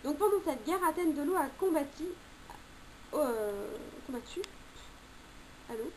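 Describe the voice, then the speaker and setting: High-voiced woman chatting through tarot cards. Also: animated, measured pace, darkish tone, a little hiss or hum, low-quality recording. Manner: chatty, many hesitations and self-corrections, jokes aside. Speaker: tarot commentator. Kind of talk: informal spoken commentary on tarot cards.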